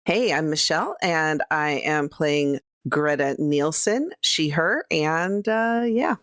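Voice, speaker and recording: A woman's voice: sits low, animated, takes it slowly, fairly bright tone, no echo, studio quality. A woman chatting casually as a player on a tabletop roleplaying show. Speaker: player on an actual play show. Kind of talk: casual chat.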